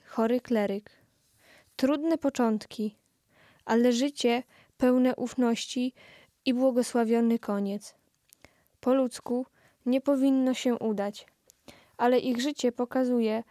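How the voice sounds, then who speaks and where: A woman's voice: on the high side, dark tone, dry recording, studio quality. A young woman playing an earnest storyteller in a scripted radio play. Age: young adult.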